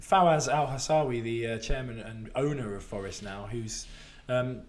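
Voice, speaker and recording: A man with a hoarse voice, chatting casually as a podcast co-host and laughing at himself. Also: mid-range voice, moderate pace. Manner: chatty, self-mocking, laughs easily. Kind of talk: casual chat.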